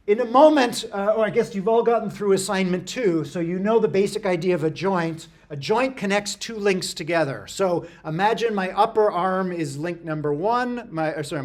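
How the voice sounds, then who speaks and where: High-voiced man lecturing casually. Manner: conversational, explains with everyday examples, frequent fillers and restarts. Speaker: university lecturer. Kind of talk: classroom lecture.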